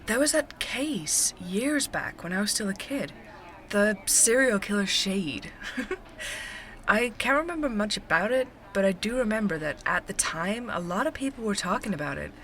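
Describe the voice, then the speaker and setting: An actress playing a feisty, outspoken young barista in an audio drama, speaking in a casual, bantering way. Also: female voice, medium pitch, lively intonation, moderate pace, a bit bright, slight background noise, natural voice. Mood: casual, musing. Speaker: head barista being interviewed.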